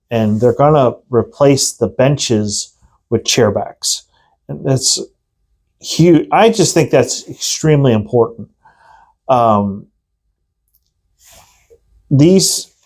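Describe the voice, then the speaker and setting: A man's voice: mid-range voice, darkish tone. A man in his forties talks casually to his camera from a small plain room, rambling in a friendly way with plenty of ums and uhs. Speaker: vlogger, channel host.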